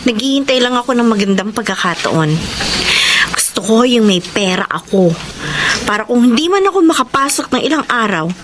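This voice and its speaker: A woman's voice: on the high side, big pitch swings, normal speed. A woman with a Filipino accent playing a feisty, honest young bus conductor in a TV drama. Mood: respectful, weary. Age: young adult. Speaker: actress. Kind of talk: TV drama acting. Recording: noisy location sound.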